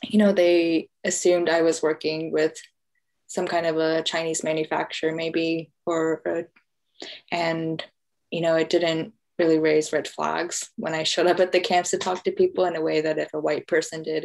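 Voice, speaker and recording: Low, creaky-voiced American woman. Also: monotone, normal speed, a little mellow. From United States. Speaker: author and journalist being interviewed. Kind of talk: conversational book talk interview.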